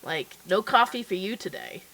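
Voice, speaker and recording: A woman's voice: mid-range voice, animated, moderate pace, sharp tone, rough recording. A woman hosting a casual livestream chat, a bit stuffy and nasal from a cold, talking in a chatty, upbeat way. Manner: chatty, upbeat, lots of fillers. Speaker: livestream host.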